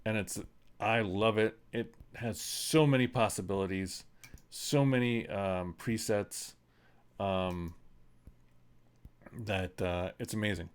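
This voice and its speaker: A man's voice: on the low side, slow pace, long pauses, clean tone. A middle-aged man in his home studio, talking loosely through a mixing tutorial with lots of ums and uhs. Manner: loose and rambling, many ums and uhs, self-deprecating. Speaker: musician showing his vocal mixing. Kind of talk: casual screen-recorded tutorial.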